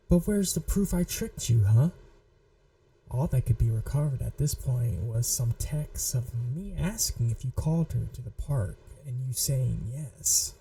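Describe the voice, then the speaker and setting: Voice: medium pitch, mellow, distant mic, poor audio. A performer voicing a possessive, manipulative young yandere in an ASMR roleplay, taunting and coldly controlled. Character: possessive, manipulative yandere childhood friend, menacing young blackmailer. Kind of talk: scripted ASMR roleplay audio drama.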